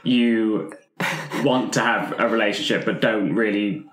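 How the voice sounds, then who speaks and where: Echoey, low-pitched man chatting. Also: measured pace, a bit bright. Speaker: tabletop role-play player. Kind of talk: casual group chat about game characters. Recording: roomy, echoey group recording.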